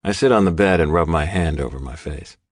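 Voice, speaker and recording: A man narrating gritty first-person fiction as an audiobook, with an intense, deliberate delivery. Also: low voice, dry recording.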